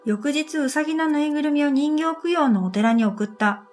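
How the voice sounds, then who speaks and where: A woman narrating a scripted first-person radio drama in a gentle, unhurried way, with breathy phrase endings. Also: sits high. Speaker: narrator and storyteller. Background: new-age music.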